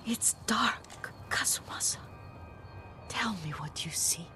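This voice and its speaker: An older woman acting a frail, confused, tender old servant in a game cutscene, with a breathy, halting, wistful delivery. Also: on the high side, lively intonation. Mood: fading, tender. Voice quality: breathy, whispery. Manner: halting, wistful, softly trailing off. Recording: music behind the voice.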